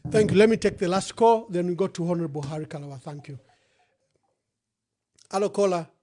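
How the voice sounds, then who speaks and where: A man's voice: sits high, brisk, warm tone. A man hosting a political call-in show in a formal, courteous manner. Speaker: radio call-in show host.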